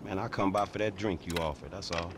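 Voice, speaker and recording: A man's voice: sits low, fast, background noise, close to an everyday voice. An actor playing a streetwise young American repo man, slangy and casual, in a video game cutscene. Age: young adult. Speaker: game character. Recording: game music behind dialogue.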